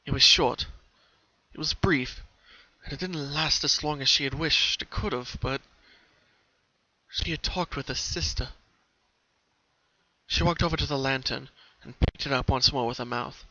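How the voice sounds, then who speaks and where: A man's voice: medium pitch, unhurried, pauses often, sharp tone. A man narrating a story as a dramatic reading, on a muffled lo-fi home recording.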